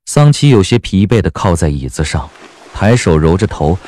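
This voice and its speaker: A man narrating an audiobook drama in a measured, dramatic storytelling manner. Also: deep voice, very animated, mellow, dry recording.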